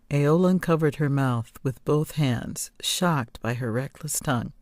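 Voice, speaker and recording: A woman narrating a scripted story as an audiobook reader, expressive and deliberate. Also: low-pitched, no echo, clean studio sound. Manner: deliberate, measured.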